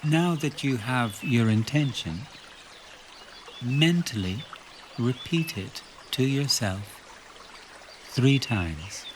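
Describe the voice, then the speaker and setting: Slow-speaking man guiding meditation over trickling water. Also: medium pitch, slight background noise. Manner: slow, short phrases with long pauses, instructing calmly. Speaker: meditation guide. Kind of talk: guided relaxation meditation.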